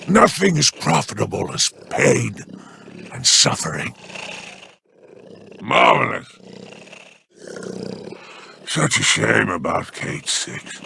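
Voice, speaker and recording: An actor playing a smug, scheming male alien crime boss in a video game, with a deep gravelly growl and a slow, mockingly polite manner. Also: sharp tone, a little hiss or hum, exaggerated voice. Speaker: merchant boss.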